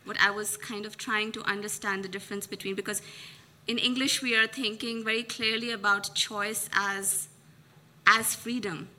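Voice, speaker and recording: A soft-spoken woman from Pakistan whose native language is Urdu, hesitantly asking a question after a lecture. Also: mid-range voice, steady tone, moderate pace. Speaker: audience member asking a question.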